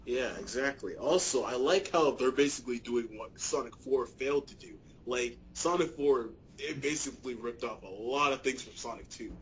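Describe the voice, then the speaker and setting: A man's voice: mid-range voice, moderate pace, hardly any pauses, slight background noise, poor audio. A young man co-hosting a podcast, chatting casually with crude, laughing banter. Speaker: podcast co-host. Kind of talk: casual chat.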